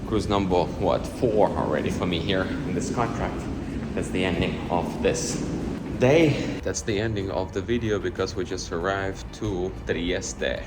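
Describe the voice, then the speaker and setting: A man's voice: low-pitched, unhurried, very noisy. A man with a Ukrainian accent, chatting casually through a vlog while working on a cruise ship. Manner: chatty, slightly broken English, upbeat. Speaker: assistant cruise director vlogging. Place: on a cruise ship, often on open deck. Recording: music playing behind the voice.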